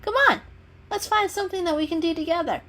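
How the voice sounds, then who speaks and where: A woman's voice: extremely high pitch, quick pace, fairly bright tone, voice pushed up. A woman voicing a teasing, mischievous gray male cat in a storybook, with a casual, cheeky manner. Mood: frank.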